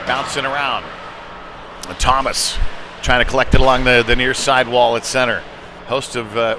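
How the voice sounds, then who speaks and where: A man calling a youth-league style hockey game live, as a play-by-play commentator following the puck. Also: medium pitch. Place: indoor ice rink during a game. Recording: noisy arena, clatter and hum behind.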